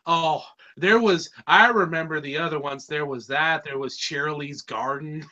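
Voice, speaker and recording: A man's voice: fairly high pitch, normal speed, bright, forceful tone, lo-fi sound. A man with a Californian accent, chatting casually as a reviewer, rambling and slangy. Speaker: reviewer, podcast host.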